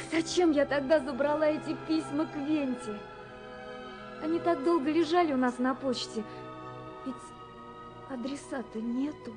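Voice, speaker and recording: A high, girlish young woman confides her letters in a wistful, anxious, romantic way. It is a vintage radio drama from the seventies, with music behind her tremulous voice. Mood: anxious confession. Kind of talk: 1970s radio drama, reading letters aloud. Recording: 1979 radio recording with music.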